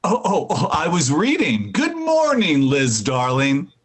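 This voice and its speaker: A man's voice: on the high side, huge pitch swings, unhurried, breathy edge, full character voice. A man playing a teasing, corny husband in a 1940s-style radio comedy, playful and hammy. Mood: distracted, fond.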